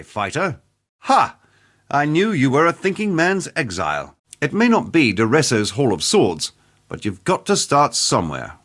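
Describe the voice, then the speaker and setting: Male game mercenary, middle-aged weapon master, cocky, jovial, wry, roguish, highly animated intonation. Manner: roguish, wry, boastful, salty fighter's banter. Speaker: video game character. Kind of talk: scripted game character dialogue.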